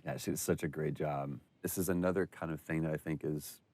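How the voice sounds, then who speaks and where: Man, extremely low voice, casual commentary, enthusiastic, effusive, conversational. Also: steady tone, brisk, darkish tone, dry recording. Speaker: game actor giving commentary. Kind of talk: casual behind-the-scenes commentary.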